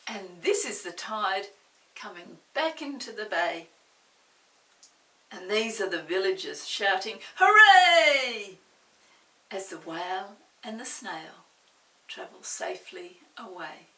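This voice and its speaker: An older woman reading a story aloud to young children, expressive, pausing to explain and ask the listener questions. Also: medium pitch. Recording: roomy, distant mic with faint hiss.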